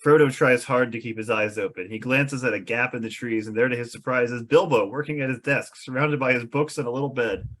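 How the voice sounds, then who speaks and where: Mid-pitched man reading stage directions. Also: brisk, few pauses, a bit bright. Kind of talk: scripted narration.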